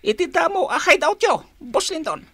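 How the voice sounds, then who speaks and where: A woman's voice: high voice, sing-song, moderate pace, a bit bright, no room sound. A woman with a Filipino accent playing an anxious informant in a radio drama. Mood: nervous, eager.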